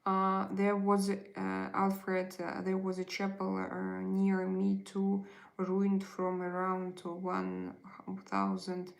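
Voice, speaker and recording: A woman with a Russian accent hosts a relaxed livestream, speaking slowly with a gravelly edge to her voice. She chats warmly with her viewers, pausing often over her words. Her voice carries a roomy echo. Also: mid-range voice. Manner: chatty, hesitant, greets and answers chat messages. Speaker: livestream host. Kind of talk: casual livestream chat with viewers.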